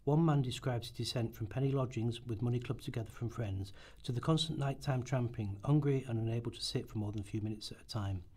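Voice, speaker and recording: A man narrates history from a script in a documentary style. His voice sits at a middle pitch and has a husky, rough edge. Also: fast, hardly any pauses, a little mellow. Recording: amateur rehearsal recording.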